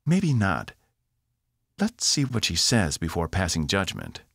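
A man voicing a confident woman starship captain, brisk and encouraging. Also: deep voice, wildly swooping pitch, vocal fry, fairly bright tone, slightly rough, no echo, studio quality, voice pushed up, heavily acted.

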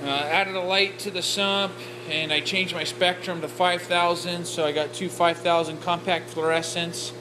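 A man with a fairly high voice talks off the cuff while filming his home reef tanks, stopping often to fill gaps with "uh". He records on a handheld camera, and a clear noise sits under his voice in the recording, with an air conditioner humming behind him. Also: little pitch movement, normal speed, hardly any pauses. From Oregon. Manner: rambling, lots of "uh" fillers. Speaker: reef aquarium hobbyist. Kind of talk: casual walkthrough vlog. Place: home reef tank setup, indoors. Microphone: handheld camera, off-screen.

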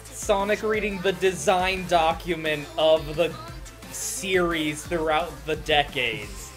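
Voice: medium pitch, takes it slowly, faint music behind. A person chatting casually and with amusement while commentating on a game. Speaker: gaming commentator. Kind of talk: casual chat over a visual novel game.

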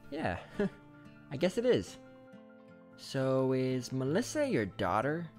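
An actor playing a young, dutiful, easily flustered male unicorn squire, hesitant and expressive, in an animated series. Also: medium pitch, takes it slowly, heavily acted. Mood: curious. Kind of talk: animated fan series voice acting. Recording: music behind the voice.

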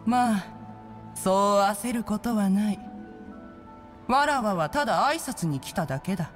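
Video game bird immortal, female, ancient, imperious, archaic wording, unhurried, grandly self-assured, faint music behind. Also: mid-range voice, sing-song. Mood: proud, grand. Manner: archaic, imperious, pompous speech. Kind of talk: Japanese video game voice acting.

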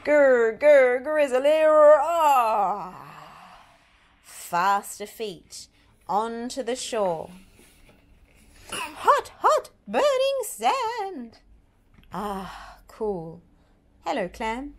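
A woman reading a picture book aloud to young children with dramatic, drawn-out, expressive delivery. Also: high-pitched.

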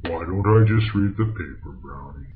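Calm, precise, faintly exasperated companion, a man, very low voice; muffled lo-fi sound. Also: moderate pace, close to an everyday voice. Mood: patient. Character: patient, formal adult male companion. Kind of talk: amateur old-time radio parody. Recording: muffled lo-fi audio with faint noise.

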